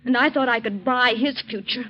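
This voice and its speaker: A woman's voice: a little high, a little fast, natural voice. A proud young woman played by an actress in an old 1940s radio drama. She speaks with firm, indignant defiance. The recording is dull and lo-fi. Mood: scornful. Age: young adult.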